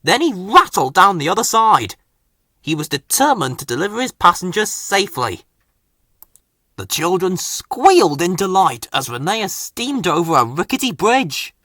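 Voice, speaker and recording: A man reading a children's storybook aloud in an expressive, dramatic way. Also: high voice, moderate pace, no echo, studio quality. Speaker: story narrator. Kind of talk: scripted children's storybook narration.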